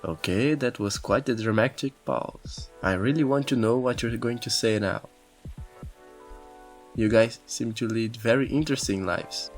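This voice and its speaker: A man plays a kindly, attentive café patron in a video game. His voice is medium in pitch and supportive in manner, with faint music behind it. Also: measured pace, lightly acted. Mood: friendly, curious. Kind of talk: visual novel fan dub.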